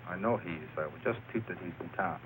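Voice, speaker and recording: An actor playing a wry, steady Mexican government agent in an old radio noir drama. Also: male voice, deep voice, fast. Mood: wry, businesslike. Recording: noisy old radio recording.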